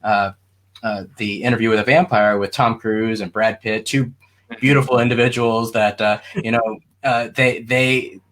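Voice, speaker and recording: A man's voice: lowish voice, level tone, moderate pace, a bit bright. A man talking casually as a panelist, informal and rambling in his delivery.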